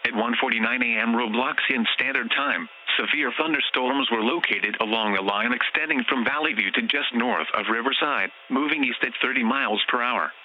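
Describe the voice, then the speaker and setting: Synthetic man, emergency alert announcer, formal scripted warning, dull lo-fi sound, noisy background. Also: medium pitch. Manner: formal, measured delivery. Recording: muffled lo-fi broadcast, clear background noise.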